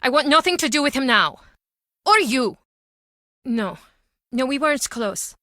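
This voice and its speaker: A woman's voice: high voice, animated, a little slow, pushed and bright, no room sound, studio quality, full character voice. A woman playing a guarded, bitter small-town pub owner in a video game, speaking in a curt, brooding, dramatic way. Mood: curt, dismissive. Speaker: game character.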